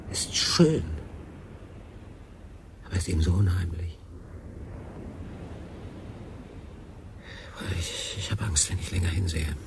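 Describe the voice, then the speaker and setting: A man acting a feverish, bewildered castaway sailor in a radio play, halting and brooding. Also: deep voice, vocal fry, heavily acted. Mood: weary, uneasy.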